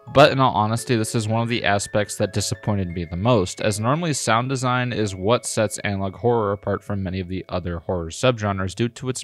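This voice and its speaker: A man's voice: on the low side, fairly bright tone. A man narrating a scripted video review in a critical, exasperated, emphatic manner. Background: music.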